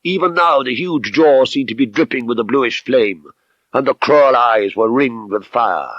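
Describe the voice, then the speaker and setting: A man reading a mystery novel as an audiobook narrator, with measured, precise, dramatic literary delivery. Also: mid-range voice, a bit bright, no echo. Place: dry studio. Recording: slightly dull 1970s audiobook recording.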